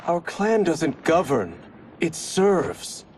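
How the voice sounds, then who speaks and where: Earnest, expressive ninja clansman. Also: a little high, extremely slow, slight background noise, full character voice. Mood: firm, dutiful. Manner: earnest, formal, firm. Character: earnest, dutiful, principled younger ninja clansman. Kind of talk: dramatic video game cutscene acting.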